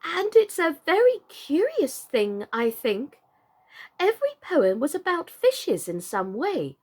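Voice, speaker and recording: Woman doing a polite nervous little girl, lifts her voice up a bit higher. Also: wildly swooping pitch, slow pace, heavily acted. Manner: polite and hesitant. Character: polite, anxious young girl.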